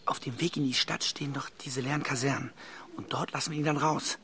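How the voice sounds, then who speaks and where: An actor playing a stressed, suspicious husband in his forties, hushed and urgent, often slipping into whispers in a naturalistic radio drama. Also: medium pitch, steady tone, fairly quick. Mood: conspiratorial. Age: 40s.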